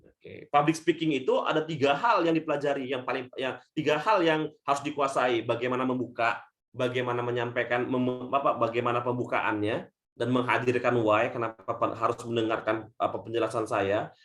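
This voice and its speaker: A man from Indonesia, a leadership coach giving a webinar talk over a video call, swinging between loud and soft and often addressing the audience as friends. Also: fairly even intonation, fairly quick. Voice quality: says his natural voice is thin and shrill.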